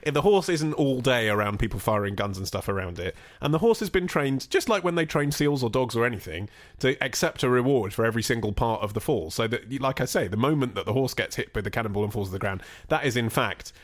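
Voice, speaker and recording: Fast-talking man, comedic chat. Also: medium pitch. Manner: quick, animated, self-mocking storytelling. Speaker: podcast co-host.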